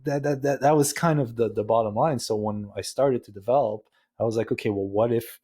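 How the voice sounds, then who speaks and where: A man's voice: medium pitch, fairly quick, mellow. A man with a Dutch accent, a podcast guest talking casually and often restarting his sentences. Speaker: guest, ecommerce agency founder.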